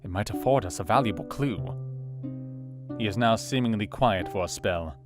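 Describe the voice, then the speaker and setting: Casting: man as a doctor narrating his journal in audio drama, lower-leaning pitch, music bed. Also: expressive, moderate pace. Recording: music behind the voice.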